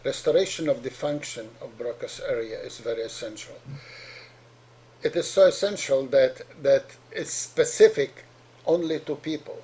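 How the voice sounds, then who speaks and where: A middle-aged man with a strong Polish accent speaks as a doctor addressing parents, earnest and patient. He often repeats and restarts his words as he works through each point. His voice is mellow and slightly husky. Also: medium pitch, normal speed. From Poland. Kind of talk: explanatory talk to parents.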